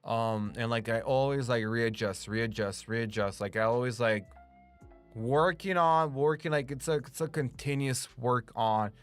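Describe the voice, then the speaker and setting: A man's voice: mid-range voice, unhurried, clear, resonant tone, faint music behind. Rambling man, slight Romanian accent. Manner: rambling, heavy filler "like", swears freely. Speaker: streamer and voice actor. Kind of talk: casual chat with stream viewers.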